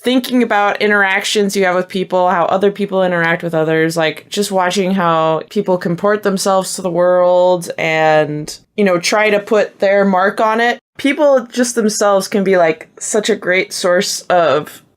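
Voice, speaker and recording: A woman's voice: medium pitch, moderate pace, hardly any pauses, clear, resonant tone. A woman chatting casually as a podcast panelist, informal and earnest, with the odd swear word. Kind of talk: casual chat.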